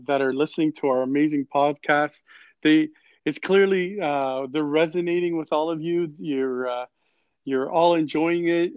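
A man hosting a podcast conversationally, effusive and halting as he repeats his phrases. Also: on the high side, smooth, dry recording, dull audio. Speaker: podcast host.

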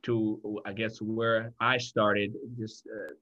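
A man from Liberia talking as an interview guest, telling his story in a thoughtful, deliberate way. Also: fairly low pitch, little pitch movement, a bit bright, lo-fi sound. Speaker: guest, founder of a financial firm.

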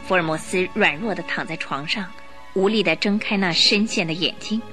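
A woman's voice: lowish voice, moderate pace. A woman reading dramatic scripted narration for a radio play. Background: music.

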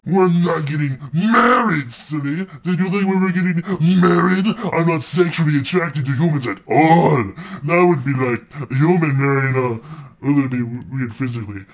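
A man voicing a bubbly, cheerful female swamp creature who gushes and laughs loudly. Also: on the high side, moderate pace, pitched below the performer's own voice, natural voice. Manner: loud, gushing, giggly.

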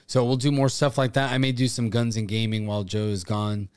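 A man's voice: on the low side, little pitch movement, fairly quick, clean tone, no echo, clean studio sound. A man co-hosting a podcast in casual, bantering chat, with a self-deprecating manner. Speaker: podcast co-host.

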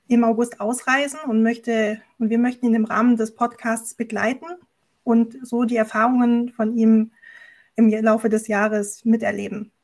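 Woman, podcast host, slightly high voice, introductory presenting style.

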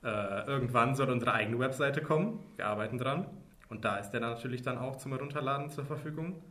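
A man's voice: medium pitch, a little fast, fairly bright tone. Young man with a dry joking manner. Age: 20s. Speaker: podcast co-host. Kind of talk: casual podcast chat.

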